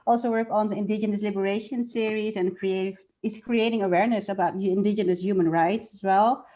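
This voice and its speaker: A woman's voice: medium pitch, steady tone, brisk, mellow, muffled recording. A woman hosting a live event, introducing speakers in a casual, spoken way. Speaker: host introducing team members.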